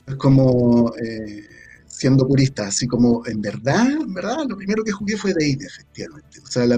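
A Chilean man talking casually as an interview guest, halting and thinking aloud as he goes. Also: a little high, darkish tone, breathy edge, dry recording. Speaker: guest, audio drama creator. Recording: faint music behind. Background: faint music.

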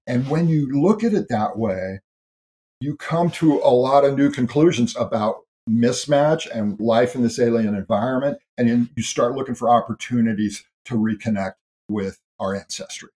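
A man's voice: medium pitch, moderate pace, warm tone. A man speaking as an interview guest, explaining big ideas in a thoughtful, earnest way with small restarts. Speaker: guest speaker on history and ancestry. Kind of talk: interview conversation.

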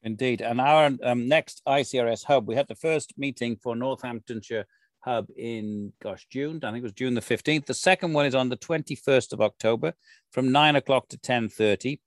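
A man's voice: medium pitch, normal speed, no echo. Dry-sounding man hosting. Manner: genial, with fillers. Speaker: podcast host. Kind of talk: conversational interview.

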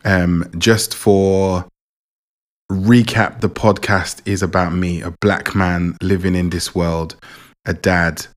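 A Jamaican-born man raised in England, hosting his own podcast in a relaxed, rambling way and often repeating words. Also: deep voice, slow pace. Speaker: podcast host. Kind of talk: casual personal monologue.

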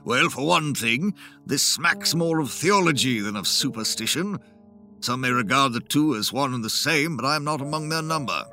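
A man reading the part of a cautious Victorian gentleman who objects with a grave, measured delivery. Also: mid-range voice, expressive, faint music behind. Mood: wary. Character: cautious, wary Victorian gentleman, club member.

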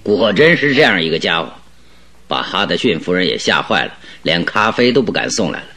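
An actor playing a shrewd, calm detective with a wry, teasing manner in a radio drama. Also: male voice, low voice, brisk, full character voice. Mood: amused, unruffled.

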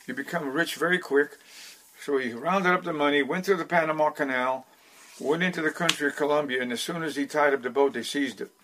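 A man in his eighties, Dutch by first language and from the Netherlands, recounting his life as a podcast guest in an unhurried way with many restarts. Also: medium pitch, a bit bright. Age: 80s. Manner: unhurried storyteller with frequent restarts. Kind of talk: storytelling interview.

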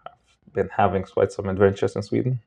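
A man speaking casually as an interview guest, often searching for his words in halting phrases. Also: fairly low pitch, steady tone, vocal fry, mellow, slightly rough. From Moldova. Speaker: podcast guest.

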